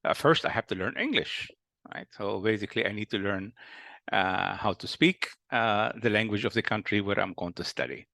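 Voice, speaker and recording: A man's voice: fairly low pitch, normal speed, vocal fry, bright, forceful tone, no echo. A Lebanese-accented man around sixty, a company founder telling his life story in a hesitant way, with many fillers and restarts. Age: about 60. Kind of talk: personal life story monologue.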